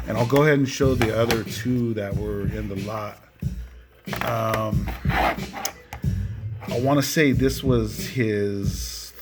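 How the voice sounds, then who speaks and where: A man hosting a casual show-and-tell of a collection, enthusiastic and often punctuating his phrases with "man". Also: on the low side. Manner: enthusiastic, repeatedly tacks "man" onto phrases. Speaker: video host showing cassette collection. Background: music with guitar.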